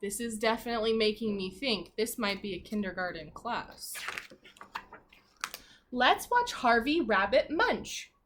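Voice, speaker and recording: A woman with a fairly high, very animated voice reads like a primary school teacher at story time. Her pitch swings freely as she moves between expressive reading and chatty asides, speaking straight to young listeners. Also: measured pace, background noise. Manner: expressive, asks the children questions directly. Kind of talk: animated read-aloud of a children's picture book with asides.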